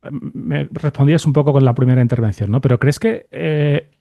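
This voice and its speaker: A man interviewing a guest conversationally as podcast host, in halting phrases full of repeated tag questions. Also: medium pitch, vocal fry, no echo, muffled recording.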